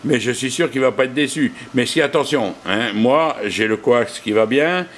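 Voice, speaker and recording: A man's voice: mid-range voice, moderate pace, a bit bright, slight background noise. A man working as an amateur radio operator, chatting casually and colloquially, with plenty of radio jargon. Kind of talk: casual radio contact chat.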